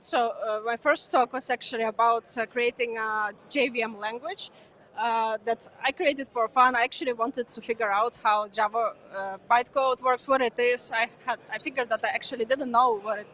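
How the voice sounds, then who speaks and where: A young woman with a high voice speaks in a relaxed, hesitant way, often pausing on fillers. Her first language is Ukrainian, and she talks as an interview guest at a busy conference. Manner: hesitant, many uh fillers, laughs lightly.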